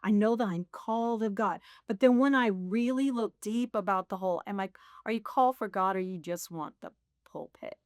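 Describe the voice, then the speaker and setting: A woman's voice: medium pitch, normal speed, no echo, clean studio sound. A woman in her sixties sharing her faith story in a casual conversation, rambling and laughing at herself. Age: 60s. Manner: rambling, self-deprecating, laughs often. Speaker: guest.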